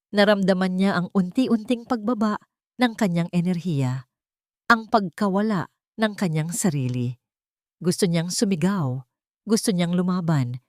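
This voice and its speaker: A woman's voice: fairly low pitch, a little mellow, no room sound, studio quality. A woman storyteller reading a scripted dramatic story.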